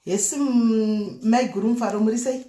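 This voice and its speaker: Higher-voiced Zimbabwean woman in an echoey room. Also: fairly even intonation, normal speed, mellow. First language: Shona. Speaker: host of a prank call show. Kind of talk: casual live prank-call chat, mixing languages.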